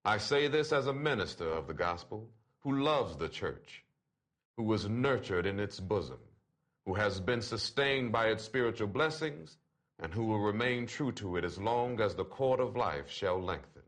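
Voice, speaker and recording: A man reading an open letter aloud in a measured, deliberate, formal delivery. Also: on the low side, expressive, a bit bright.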